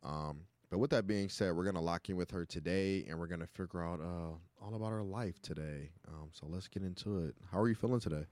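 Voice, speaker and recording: A male radio host with a low voice in a dry studio. He talks in a casual, slangy way, interviewing and tossing in short affirmations. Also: fairly quick, hardly any pauses, darkish tone, dry recording.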